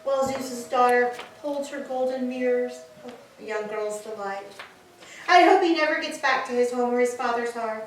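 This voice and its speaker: A woman's voice: fairly high pitch, normal speed, natural voice. A woman playing an anguished captive Trojan woman in a staged tragedy reading, declaiming a lament. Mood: bitter. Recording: very echoey hall, distant mic, some noise.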